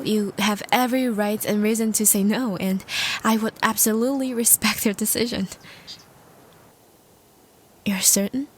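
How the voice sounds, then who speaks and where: Woman playing a slow-speaking, courtly female vampire. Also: mid-range voice, slight background noise, lightly acted. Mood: hushed, tender. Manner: formal, old-fashioned diction, tender and breathy. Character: courteous, smitten, hungry old-world female vampire. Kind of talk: roleplay audio drama, intimate scripted monologue.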